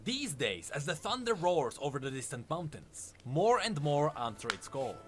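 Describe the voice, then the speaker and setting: A man's voice: fairly high pitch, very animated, normal speed. A man reading scripted narration, telling fantasy game lore as a story. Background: background music under the voice.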